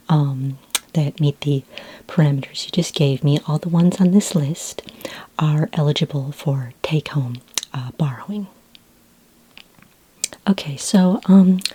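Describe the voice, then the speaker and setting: Soft breathy, low-pitched librarian played by a woman. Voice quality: soft, breathy, half-whispered. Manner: gentle, hesitant, unhurried, helpful. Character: friendly, helpful female futuristic library clerk for aliens. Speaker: ASMR roleplay performer. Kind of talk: soft-spoken ASMR roleplay.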